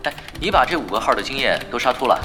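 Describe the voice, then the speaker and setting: An actor playing a dry, unbothered, teasing gamer with an offhand manner in a Chinese audio drama. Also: male voice, medium pitch, very animated, fast talker, music in the background, lightly acted. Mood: wry, casual. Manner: offhand, casual.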